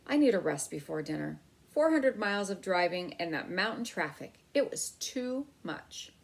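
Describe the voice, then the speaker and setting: A woman's voice: lowish voice, animated, moderate pace, creaky. A woman narrator voicing a weary, stubborn father on holiday in a storybook, firm and tired.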